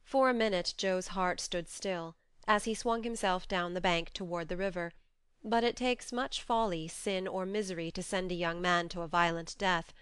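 A woman reading a novel aloud as an audiobook narrator, in expressive scripted storytelling. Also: on the low side, moderate pace, clear, resonant tone, no echo.

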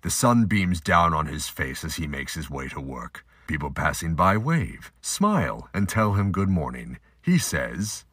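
A man narrating a scripted amateur audio drama with a husky voice. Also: very deep voice, sing-song, unhurried, few pauses, a bit bright, dry recording, studio quality.

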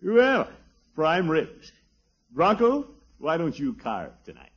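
An actor playing a pompous, easily flustered middle-aged uncle in a 1950s radio comedy, with a blustery, theatrical delivery. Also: male voice, a little high, wildly swooping pitch, takes it slowly, breathy edge, heavily acted. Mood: falsely genial. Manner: blustery, theatrical, chuckling, exclamatory. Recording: dull, muffled old radio recording.

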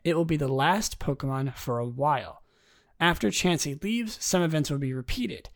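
Young guy w a raspy voice doing a laid back solo podcast, kinda rambly. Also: moderate pace. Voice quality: raspy, low tonight. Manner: relaxed and rambling, many ums and restarts. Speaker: podcast host. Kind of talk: casual solo podcast, reading game news.

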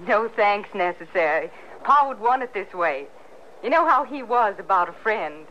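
A woman's voice: mid-range voice, expressive, normal speed, sharp tone. An actress playing a guarded but hospitable young American frontier woman in an old-time radio western. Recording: muffled vintage radio recording with noise.

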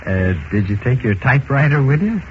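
An actor playing a polite, smooth, quietly probing undercover federal agent in 1940s radio acting style. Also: male voice, medium pitch, big pitch swings, fairly quick, close to an everyday voice. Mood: casual, probing. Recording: muffled, noisy 1940s radio recording.

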